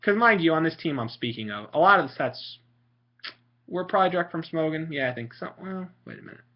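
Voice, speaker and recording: A man's voice: lively intonation, quick pace. A young man from Italy talking casually and sarcastically about game strategy, rambling with fillers. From Italian. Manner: sarcastic, mocking, frequent fillers. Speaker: vlogger. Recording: muffled low-fi home recording.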